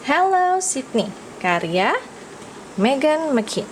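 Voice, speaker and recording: A woman's voice: sits high, sing-song, slow pace, a little hiss or hum, poor audio. A woman with an Indonesian accent reads a children's picture book aloud expressively, engaging young listeners with questions and exclamations. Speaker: children's storyteller.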